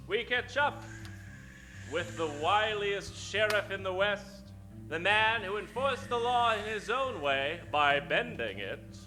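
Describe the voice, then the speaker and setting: A man's voice: high-pitched, bright, forceful tone. A man hosting and narrating a live comedy in the showy, theatrical style of a 1940s radio announcer. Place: live stage before an audience.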